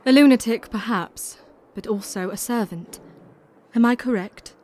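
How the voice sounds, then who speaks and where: An actress playing a resolute, composed young Victorian woman with a formal, measured manner in an audio drama. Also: fairly high pitch, a little mellow, slight background noise, lightly acted. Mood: pointed, accusing.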